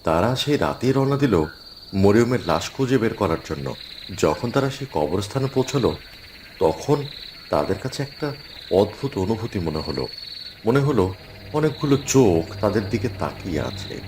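A man's voice: fairly low pitch, very animated, normal speed, a little mellow, slight background noise. A man narrating a cartoon ghost story in a dramatic, suspenseful way.

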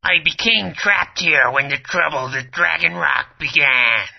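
A woman voicing a trapped, mutated female creature who guards a spell stone in a video game, with wailing, gravelly delivery. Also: sits low, measured pace, heavily acted. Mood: bitter. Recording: muffled lo-fi audio.

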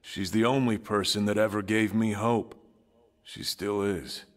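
A man playing a wry, wistful, world-weary survivor in a video game, musing conversationally in a husky voice. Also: on the low side, steady tone, a little slow, lightly acted. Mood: tender, resigned. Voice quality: husky, rough-edged. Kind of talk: video game cutscene acting.

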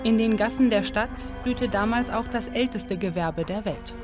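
Woman, documentary narrator, measured delivery, clear music bed underneath. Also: mid-range voice. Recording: narration over loud music.

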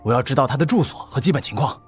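Male actor as gang fixer, audiobook drama, scheming, resentful, lively pitch. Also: racing, husky, soft background music. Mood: fawning, polite. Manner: obsequious on the phone, bitter in private.

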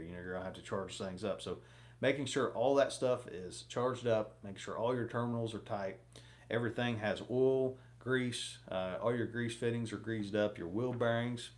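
A man in his thirties talking casually to camera from a small home office, rambling with many fillers while giving fishing advice. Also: lowish voice. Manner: rambling, lots of fillers, gestures a lot. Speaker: fishing vlogger.

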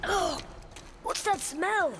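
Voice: very high voice, slow pace, noisy background. A young performer playing an eager, earnest, inquisitive boy, son of a warrior, in a video game. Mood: uneasy.

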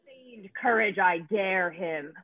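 A woman playing an intense, showboating actress in a stage parody, melodramatic and deliberate, heard through thin, phone-like sound. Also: medium pitch, husky, no echo. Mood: imperious. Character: intense, showboating actress grabbing all the focus.

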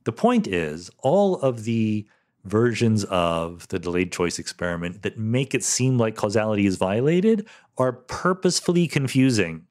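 A man's voice: fairly low pitch, big pitch swings, measured pace, a little mellow. A middle-aged man hosting a solo podcast, answering listener questions candidly with fillers like "um" and "you know". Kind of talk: solo podcast Q&A, thinking aloud.

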